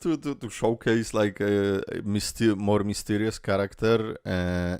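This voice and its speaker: A man's voice: fairly low pitch, darkish tone, dry recording, studio quality. A man hosting a casual reaction video, rambling with lots of fillers, swearing and laughing as he talks.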